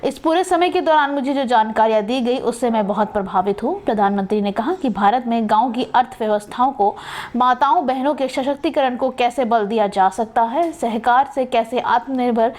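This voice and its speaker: A woman's voice: a little high, normal speed, a little mellow. A woman news presenter reading a scripted news bulletin.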